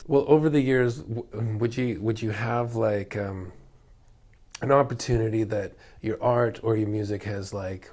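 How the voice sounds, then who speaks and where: A man's voice: on the low side, a little slow. A man interviewing artists, asking loose questions with short affirmations. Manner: relaxed, brief prompts and affirmations. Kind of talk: casual interview.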